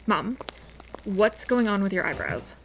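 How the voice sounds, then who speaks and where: A woman's voice: mid-range voice, animated, a little slow, background noise. A woman playing a blunt, defiant seventeen-year-old girl with a snarky edge in an audio drama. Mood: sarcastic.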